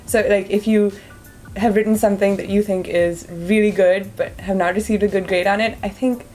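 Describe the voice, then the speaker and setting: A woman's voice: medium pitch, fairly even intonation, normal speed, darkish tone, faint music behind. Young woman, from India, student guest, frank, casual interview answers. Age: young adult.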